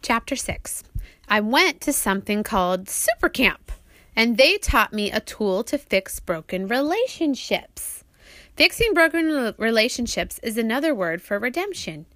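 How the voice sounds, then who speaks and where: Bright, high-voiced woman reading and teaching. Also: sing-song, measured pace, no room sound. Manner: questioning, prompting, instructive. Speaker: author reading own book aloud and parent. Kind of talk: audiobook narration mixed with teaching a child.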